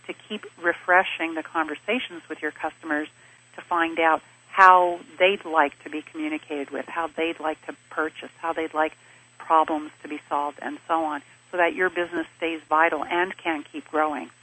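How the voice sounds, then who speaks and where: A woman who grew up in Switzerland, answering interview questions in a measured, explanatory way and stringing her points into lists. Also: low voice, level tone, pushed and bright, breathy edge, low-quality recording. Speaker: interview guest, business advisor. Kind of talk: thoughtful conversational interview answers.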